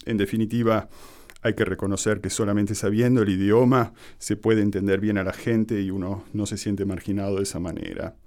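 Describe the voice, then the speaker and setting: Low-voiced Argentine man in conversation. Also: little pitch movement, a little fast, darkish tone. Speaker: interview guest. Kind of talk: conversational radio interview monologue.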